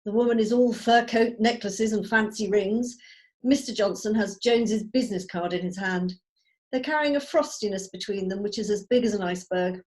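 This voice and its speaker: A woman with a mid-pitched voice reads stage directions as narrator. It is scripted narration, recorded over a video call. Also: normal speed, a little mellow. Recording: video call table read.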